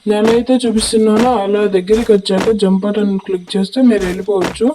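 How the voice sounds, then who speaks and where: A woman's voice: mid-range voice, level tone, rapid-fire. A woman giving instructional, repetitive game tutorial commentary through a voice changer. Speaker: gaming video creator. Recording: clearly noisy home recording with clicks.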